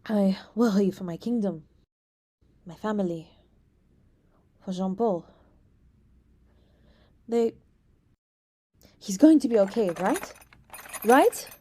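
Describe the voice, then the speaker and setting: A woman's voice: medium pitch, slow pace, long pauses, dark tone. A woman voicing a playful young princess who teases and scatters French words into her speech, in an ASMR roleplay. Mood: worried, hushed. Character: teasing young princess, playful, anxious beneath.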